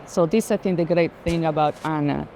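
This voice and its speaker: Low, mellow woman, reflective. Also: fairly quick, poor audio. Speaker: art collector. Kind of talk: reflective art conversation. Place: busy art fair. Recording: clear urban ambient noise.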